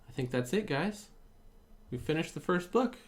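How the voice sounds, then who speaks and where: A man reading aloud to children on a livestream, in an expressive storytelling style. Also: fairly high pitch, moderate pace, slight background noise.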